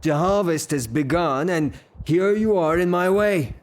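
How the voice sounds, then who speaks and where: An actor playing a blustering, lovestruck, hot-tempered gentleman landowner in an audio drama, with a halting, theatrical delivery. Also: fairly high pitch, close to an everyday voice. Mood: exasperated. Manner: theatrical, halting, repeats himself.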